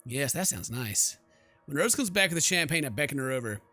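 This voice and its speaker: A man streaming a game, giving animated, jokey commentary and reading the story narration aloud. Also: mid-range voice, brisk, faint music behind. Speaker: gaming streamer.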